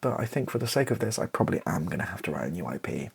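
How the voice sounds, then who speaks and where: A British man doing casual, improvised ASMR, thinking aloud in hesitant fragments with a soft, breathy, partly whispered voice. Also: sits low, big pitch swings, very fast. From Britain. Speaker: ASMR video creator thinking aloud while writing.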